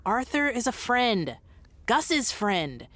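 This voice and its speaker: An earnest, bubbly young radio host. Her fairly high voice bounces with enthusiasm. The recording is close and dry, with no room sound. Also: very animated, slow, fairly bright tone, no room sound, full character voice. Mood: defensive. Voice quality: bubbly, eager. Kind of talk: comedic audio drama acting.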